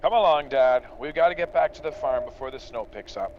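An actor playing a confident farmer, a dutiful son and brother, in a radio drama. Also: male voice, medium pitch, brisk, dull audio, slight background noise, natural voice. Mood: reassuring. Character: confident farmer son, reassuring, dismissive of worries.

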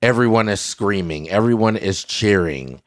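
A man with a raspy voice hosting a casual podcast, telling stories in an animated way and repeating himself for effect. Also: fairly low pitch, moderate pace. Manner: animated storytelling with repetitions. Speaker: podcast host.

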